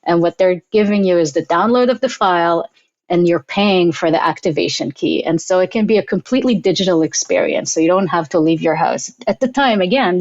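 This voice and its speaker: A woman's voice: fairly low pitch, a little mellow, no room sound, dull audio. A middle-aged woman from Israel, a guest in a casual interview, telling her story thoughtfully with frequent restarts. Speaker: guest, payments product executive.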